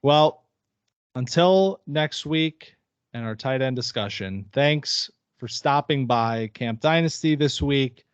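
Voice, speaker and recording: A man's voice: mid-range voice, expressive, slow, clear, resonant tone, no room sound. A man co-hosting a casual sports podcast, chatty and upbeat, often restarting his phrases. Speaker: podcast co-host.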